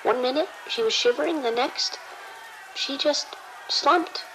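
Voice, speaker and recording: A woman playing a frantic triage nurse in an audio drama, breathless and halting with panic. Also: high voice, fairly bright tone, a little hiss or hum, poor audio. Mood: shaken. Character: frantic female triage nurse in overwhelmed hospital.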